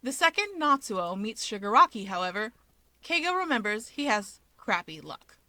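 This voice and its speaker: A woman's voice: on the high side, big pitch swings, normal speed, pushed and bright, no room sound. A woman reading fiction aloud as a scripted narrator. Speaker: story reader.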